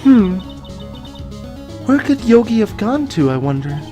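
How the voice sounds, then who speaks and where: A woman's voice: mid-range voice, very animated, a little slow, warm tone, soft background music. A woman playing a curious, naive and playful young female sidekick in a voiced video game. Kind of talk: fan voice acting of video game dialogue.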